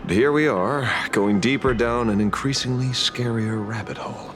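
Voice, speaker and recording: An actor playing a gruff, wry investigator in a video game, confident and sardonic. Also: male voice, medium pitch, normal speed, lightly acted. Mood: wry, troubled. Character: gruff, wry, instinct-driven male investigator. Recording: music behind the voice.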